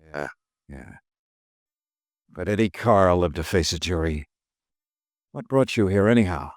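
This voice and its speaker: A hard-boiled radio insurance detective, wry, lively pitch, dry sound. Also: male voice, a little slow, dry recording. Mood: tough. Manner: tough, wry, clipped. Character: wisecracking male insurance investigator. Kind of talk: 1950s radio detective drama.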